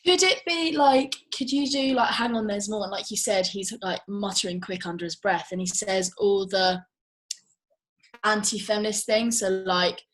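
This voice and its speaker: A woman's voice: medium pitch, fairly even intonation, normal speed, fairly bright tone, low-quality recording. A woman making casual script suggestions, thinking aloud in loose, informal speech peppered with filler words.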